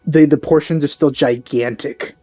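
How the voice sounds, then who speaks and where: A woman hosting a let's play with a very deep, mellow voice. She makes easygoing, musing asides over the game. The sound is dry, with faint music behind. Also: fairly even intonation, normal speed. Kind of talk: casual gameplay commentary.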